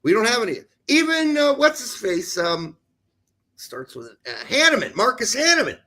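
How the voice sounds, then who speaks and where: A man's voice: extremely high pitch, very animated, moderate pace, pushed and bright. A man with an American accent chatting casually about sports as a livestream co-host, blunt and opinionated.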